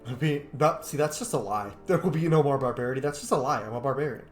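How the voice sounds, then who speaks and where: A man's voice: mid-range voice, fast talker. A man hosting a gaming video, giving casual playthrough commentary and role-playing along. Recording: roomy sound with faint game music. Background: game music.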